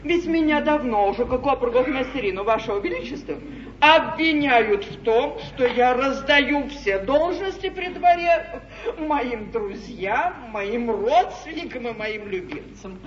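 An actress playing a domineering, shrewd middle-aged duchess at an English royal court in a 1950s stage comedy, declaiming emphatically. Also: female voice, fairly high pitch, animated, unhurried, far from the mic. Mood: pleading, persuasive. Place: large theatre stage, from off. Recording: roomy, dull, noisy 1953 theatre stage recording.

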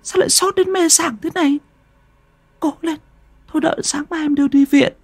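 A man's voice: steady tone, fairly quick, gravelly, no room sound. A man doing a frantic worried wife. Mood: anxious. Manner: high, anxious and coaxing.

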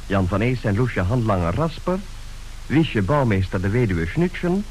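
A man's voice: on the low side, very animated, moderate pace, darkish tone, poor audio. A man formally announcing the cast credits of a radio play. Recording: hissy old radio recording.

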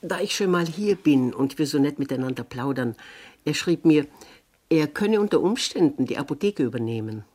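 An actress playing a strong-willed, shrewd seventy-year-old aunt in a 1960s radio play, brisk and admonishing. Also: female voice, low-pitched, expressive, mellow. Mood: frank, measured. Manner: brisk, admonishing, matter-of-fact. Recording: 1960s radio recording with background noise.

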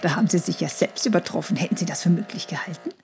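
An actress playing a gushing, pushy nurse in a satirical radio play, coaxing in a falsely sweet sing-song manner. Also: female voice, fairly low pitch, very fast, darkish tone, soft background music, natural voice. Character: gushing, pushy female nurse egging a patient on. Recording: noisy scene with clicking sounds.

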